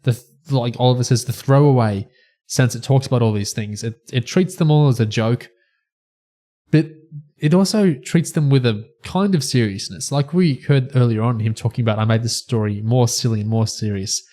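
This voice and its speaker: Male podcast panelist, average pitch, casual but analytical review chat. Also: normal speed, warm tone.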